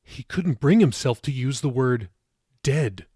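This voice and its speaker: A man's voice: medium pitch, animated, a little slow, mellow, slightly rough, dry recording, clean studio sound. A man reading scripted material for his own podcast, as author and narrator.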